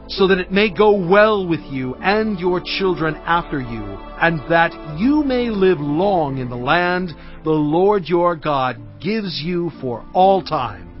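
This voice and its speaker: A man's voice: on the high side, expressive, slow, hardly any pauses. An actor playing an earnest prophet who addresses his people with emphatic oratory in a dramatized scripture reading. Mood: earnest exhortation. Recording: slightly dull sound, faint music behind. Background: faint music.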